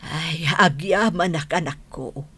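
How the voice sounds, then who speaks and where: A woman playing a gentle, frail elderly mother in a radio drama, with an Ilocano accent. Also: deep voice, slow pace, husky, exaggerated voice. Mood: tender.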